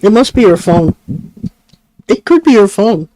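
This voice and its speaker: A woman co-hosting a live psychic radio show, talking casually, jumping in often with quick remarks, murmured agreement and light laughs. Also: mid-range voice, big pitch swings, mellow, dry recording, rough recording. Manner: chatty, jumps in, frequent mm-hmms and light laughs. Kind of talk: casual chat. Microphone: live-stream studio mic.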